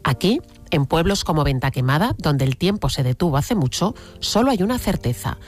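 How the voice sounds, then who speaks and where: Measured radio culture reporter. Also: medium pitch, big pitch swings. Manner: measured, storytelling delivery. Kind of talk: scripted radio narration.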